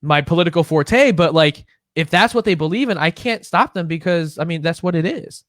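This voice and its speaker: A young man from Illinois co-hosting a podcast, chatting casually, profane and animated, laughing often. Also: fairly high pitch, brisk, no room sound, clean studio sound. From Illinois, United States. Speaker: podcast co-host. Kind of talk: casual chat.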